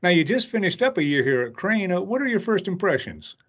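A man's voice: high-pitched, sing-song, brisk, breathy edge, dull audio. A man hosting a podcast in a polished, upbeat way, reading an intro and asking interview questions. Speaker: podcast host.

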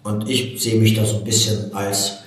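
A man's voice: lowish voice, flat delivery, moderate pace. Middle-aged man, very echoey distant recording. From Baku, Azerbaijan. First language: Russian. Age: 40s. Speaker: interview guest, personal development speaker and coach. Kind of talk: motivational personal storytelling in an interview. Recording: very echoey room, distant from mic.

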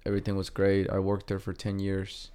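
Mexican accented guy, lower voice, smooth, lots of ums and restarts. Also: monotone, fairly quick, dark tone. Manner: hesitant, many fillers and restarts. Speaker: guest artist. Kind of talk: casual interview.

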